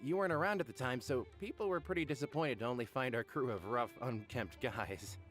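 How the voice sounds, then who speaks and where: A man voicing an excitable, rough mercenary in a game, talking with quick indignant outbursts. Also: medium pitch, soft background music, natural voice. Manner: exclaiming, indignant.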